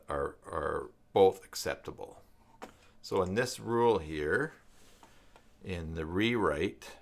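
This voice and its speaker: A man with a Canadian accent hosting a casual live tutorial, thinking aloud in a halting, musing way. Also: sits low, vocal fry, a bit bright. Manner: halting, musing, reads aloud and mutters to himself. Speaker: live stream host, web tutorial presenter.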